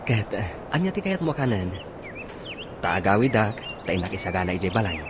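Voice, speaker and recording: A man's voice: fairly low pitch, very animated, fairly quick, background noise. A man playing a hesitant, attentive young boyfriend of an older woman in a radio drama. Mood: soothing.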